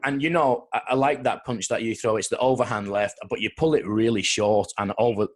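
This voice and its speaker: A man's voice: medium pitch, fairly even intonation, a little fast. A man hosting an interview, casual and supportive, breaking down boxing technique with his guest. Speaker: interviewer host. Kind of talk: interview conversation.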